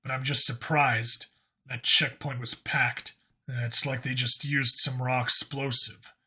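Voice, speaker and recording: Man playing a blunt, bright-voiced human cop. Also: medium pitch, level tone, slow, husky, heavily acted. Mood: reflective. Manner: casual, hesitant, plainspoken. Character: blunt, sweary human cop, casual and curious. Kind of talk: audiobook character acting.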